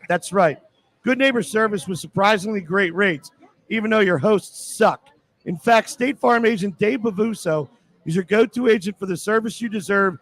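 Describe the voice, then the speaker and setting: A man's voice: high-pitched, a bit bright. A man hosting live sports radio, bantering and reading sponsor spots in a sarcastic, grumbling, needling way. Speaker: sports radio show host. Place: live remote broadcast at a casino.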